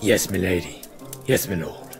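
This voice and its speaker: A man's voice: low voice, unhurried, vocal fry, soft background music. A man playing a respectful, dutiful royal guard in a visual novel, formal and deferential. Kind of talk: visual novel character acting.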